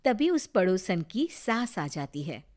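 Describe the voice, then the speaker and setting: Woman narrator, cartoon storybook, calm and even, mid pitch. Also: slow pace.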